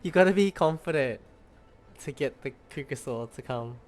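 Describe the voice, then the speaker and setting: A man's voice: mid-range voice, expressive, moderate pace, darkish tone. A man doing casual gameplay commentary, thinking aloud with brief reactions. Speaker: game streamer. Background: game music.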